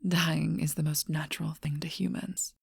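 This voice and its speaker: A woman voicing an ancient, lonely, seductive female vampire in a whispered ASMR role-play, speaking slowly and softly into a close mic. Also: low-pitched, little pitch movement, creaky, breathy edge, no echo, heavily acted. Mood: mournful whisper. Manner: soft intimate whisper, slow and measured.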